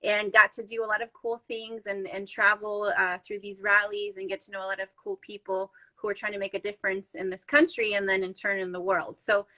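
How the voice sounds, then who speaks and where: A woman's voice: mid-range voice, flat delivery, a little fast, few pauses, bright, forceful tone, poor audio. A woman from Chile, a podcast guest, talking in a chatty, upbeat way with many fillers. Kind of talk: casual conversation.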